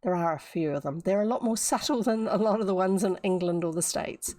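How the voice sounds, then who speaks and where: A woman's voice: mid-range voice, brisk, darkish tone. A woman with a New Zealand accent reading a fairy tale aloud on a livestream, breaking off often for chatty asides and explanations. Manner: chatty, digressive, explains old words.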